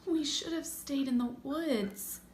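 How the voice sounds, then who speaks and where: A woman's voice: high voice, takes it slowly, darkish tone, pitched above the performer's own voice. A woman playing a female deer ship captain in a storybook, genteel and earnest. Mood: regretful. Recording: roomy echo, faint background noise.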